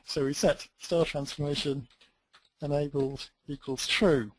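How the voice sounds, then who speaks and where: A man's voice: a little high, fairly even intonation, measured pace, low-quality recording. A man teaching a database tutorial, thinking aloud as he types through a live demo with dry, self-deprecating asides. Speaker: database instructor.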